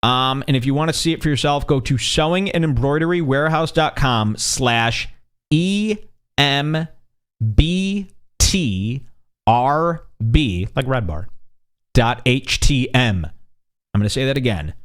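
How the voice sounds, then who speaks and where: A man hosting a comedy radio show, setting up a prank call with mocking commentary and drawn-out emphasis on words. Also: mid-range voice, animated, fairly bright tone, clear, resonant tone.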